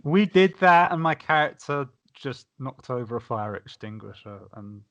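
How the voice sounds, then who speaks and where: Mid-pitched man chatting on a podcast. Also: lively intonation, moderate pace, a bit bright, highs cut off. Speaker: podcast panelist. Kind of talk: casual podcast chat.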